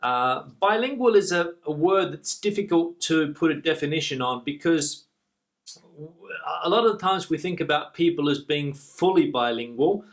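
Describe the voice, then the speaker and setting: A man's voice: on the high side, animated, normal speed. Australian man teaching an English lesson. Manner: clear explanatory teaching, repeats key words.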